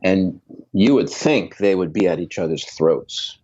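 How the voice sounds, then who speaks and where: Man in his fifties, reflective, laughing often, low voice, muffled dull audio. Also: animated, measured pace, a little mellow. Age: 50s. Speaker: guest musician. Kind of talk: candid interview storytelling.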